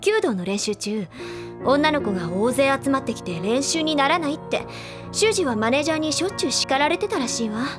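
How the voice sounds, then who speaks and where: A woman playing a confident, teasing high-school senior girl who recounts gossip in a feminine storytelling way in an audio drama. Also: expressive, a little fast, over music. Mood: playful, informative. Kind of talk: anime audio drama dialogue.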